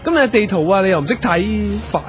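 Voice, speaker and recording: A man's voice: high voice, lively intonation, brisk. A man playing a fussy, boastful talent manager in a radio comedy drama, nagging and cajoling in Hong Kong Cantonese. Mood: irritated. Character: fussy, boastful male showbiz manager, easily flustered. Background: music.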